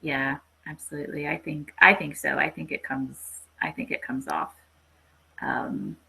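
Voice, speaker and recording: Creaky lower-voiced woman hosting a podcast. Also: level tone, a little slow, pushed and bright, rough recording. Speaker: podcast host. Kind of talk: casual literary discussion.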